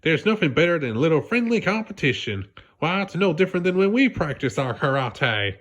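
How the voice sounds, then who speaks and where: Guy doing a peppy folksy squirrel gal from a storybook, super encouraging, pitched up. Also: sing-song, a little fast, a bit bright. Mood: reassuring. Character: delighted, encouraging female squirrel friend. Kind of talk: storybook character voice.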